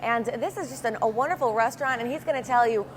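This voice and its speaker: A woman's voice: fairly high pitch, fast talker, a little hiss or hum. A young woman reporting local news and weather for TV in an upbeat, chatty way, with a few fillers.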